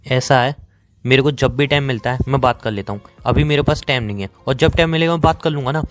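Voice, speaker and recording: Man acting a fast-talking, bitter young Indian boyfriend. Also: medium pitch, faint music behind, lightly acted. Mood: irritated. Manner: sarcastic, dismissive, rapid-fire. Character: bitter, sarcastic young boyfriend fed up with his girlfriend. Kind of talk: acted phone-call romance drama.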